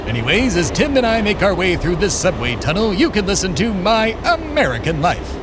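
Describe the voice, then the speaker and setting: Man playing pompous, lively, high-voiced immortal show host. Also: fairly quick, heavy background noise. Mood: grandiose, chatty. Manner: theatrical, grandiose, formal wording with casual asides. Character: immortal, pompous, whimsical male American-embodiment podcast host. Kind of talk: comedic audio drama and mock-broadcast narration.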